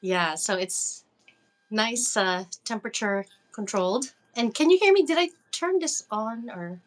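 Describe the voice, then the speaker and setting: A woman who was born in the Philippines and grew up in Los Angeles, an indie music artist speaking from a recording studio as an interview guest, chatty and quick to laugh. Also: a little high, animated. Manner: bubbly, laughs often, chatty. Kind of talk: casual interview over a call.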